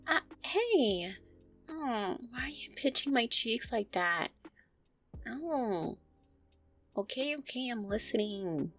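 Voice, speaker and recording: A woman playing a gentle, anxious, affectionate vampire girlfriend in an intimate roleplay audio, speaking softly, tenderly and hesitantly. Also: a little high, big pitch swings, slow pace, pauses often, faint music behind. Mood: flustered, hesitant. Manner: soft, tender, hesitant, sighing delivery.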